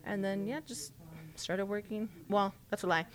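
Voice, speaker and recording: A woman's voice: medium pitch, steady tone, moderate pace. A woman from South Texas whose first language is Spanish, a sports reporter chatting casually as a podcast guest, telling stories with lots of "like" and easy laughter. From South Texas, USA. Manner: chatty, storytelling, frequent "like" and laughs. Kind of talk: casual interview chat.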